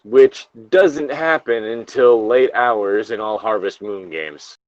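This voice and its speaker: A man's voice: lowish voice, takes it slowly, dry recording, lo-fi sound. A man co-hosting a casual read-along of internet horror stories, jokey and given to swearing between lines. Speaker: co-host reading internet horror stories aloud. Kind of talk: casual story read-along with joking asides.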